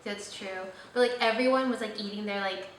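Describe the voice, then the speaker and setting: A woman's voice: medium pitch, steady tone, fairly quick. A young woman hosting her vlog, chatting casually and rambling with lots of fillers. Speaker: vlogger host. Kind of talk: casual chat. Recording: echoey room, slightly distant mic, faint noise.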